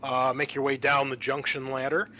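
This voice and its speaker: A man narrating a tabletop roleplaying game as game master, pausing often mid-sentence. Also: mid-range voice, flat delivery, pushed and bright, a little hiss or hum.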